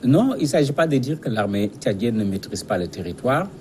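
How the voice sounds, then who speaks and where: A man's voice: medium pitch, sing-song, a little fast, darkish tone, slight background noise. A man giving explanatory commentary as a guest analyst in a news interview, speaking didactically and repeating words as he searches for phrasing.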